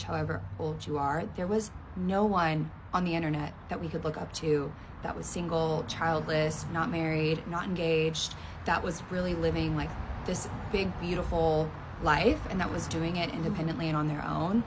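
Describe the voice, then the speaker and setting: A thirty-nine-year-old woman talks with a friend in an emphatic, reassuring way, her voice sitting slightly low. Clear background noise runs under her speech. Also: steady tone, moderate pace. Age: 30s. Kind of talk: casual conversation with a friend.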